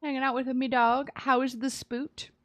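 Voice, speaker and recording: A woman's voice: high-pitched, flat delivery, normal speed, no room sound. A woman live streaming a game, chatting casually and playfully with cutesy slang. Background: soft background music.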